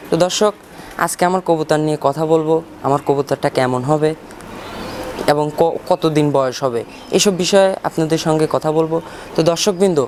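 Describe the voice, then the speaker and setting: A man's voice: fairly high pitch, a little mellow. A man with a Bangladeshi accent hosting an informal farm video, often repeating himself as he addresses his viewers. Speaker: pigeon keeper and farm channel host. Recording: noisy outdoor ambience with birdsong. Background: birds and ambient noise.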